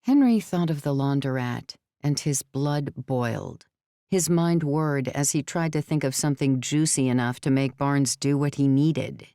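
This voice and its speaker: A female audiobook narrator reads scripted fiction. Her voice is very low for a woman, smooth and resonant, recorded close with no room sound. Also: a little slow, mellow, no echo.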